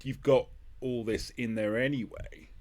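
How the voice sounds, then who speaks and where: A man hosting a casual interview podcast, speaking in halting phrases with repeated starts as he works out his point. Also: mid-range voice, steady tone, a little mellow. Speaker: podcast host.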